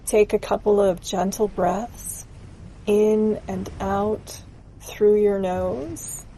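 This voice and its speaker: A woman narrating a scripted guided meditation in a gentle, soothing, unhurried manner. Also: medium pitch, fairly even intonation, a little hiss or hum, poor audio.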